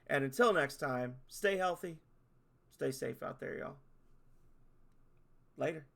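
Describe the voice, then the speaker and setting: Hoarse gravelly male streamer. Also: a little high, slow pace, music in the background. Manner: rambling, self-deprecating. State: hoarse, losing his voice. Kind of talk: casual stream sign-off.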